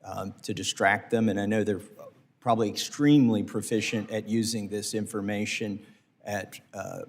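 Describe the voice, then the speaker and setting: A congressman questioning witnesses at a committee hearing, halting with restarts and fillers. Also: lowish voice, steady tone, big-room sound. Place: hearing room.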